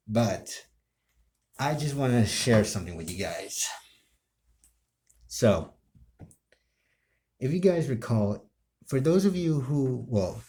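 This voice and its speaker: A man chatting casually to his viewers as a video creator, rambling and circling back over halting explanations. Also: lowish voice, warm tone. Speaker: video creator and amateur role-player.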